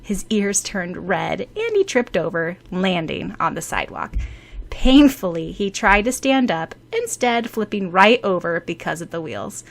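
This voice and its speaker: A woman's voice: mid-range voice, sing-song, unhurried, creaky. A woman reading scripted story narration expressively, as an audiobook narrator.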